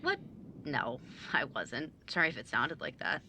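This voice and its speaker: A woman plays a bookish young mage, the overachieving sibling of an audio drama. The voice is low and bright, with lively swings into sarcastic exasperation. A faint rumble sits behind it. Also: unhurried, exaggerated voice. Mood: awkward, hushed. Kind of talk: naturalistic audio drama dialogue.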